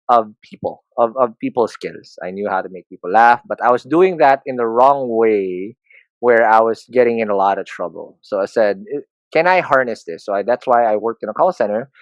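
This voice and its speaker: A man's voice: medium pitch, expressive, moderate pace, no room sound, lo-fi sound. Joking Filipino man, dry close sound. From Philippines. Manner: self-deprecating, joking, frequent restarts. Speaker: podcast guest, entrepreneur. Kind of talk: candid conversational interview.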